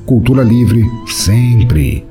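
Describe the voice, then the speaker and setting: A man's voice: medium pitch, very slow, dark tone. A Brazilian man speaking as a podcast host, chatting informally and chummily with his listeners in casual commentary. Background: music under the voice.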